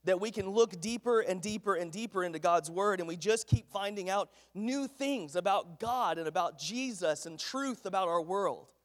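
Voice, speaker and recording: A man's voice: sits high, moderate pace, hardly any pauses. A man preaching a folksy, earnest sermon while congested with a head cold. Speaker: pastor. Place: church sanctuary. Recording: echoey church hall.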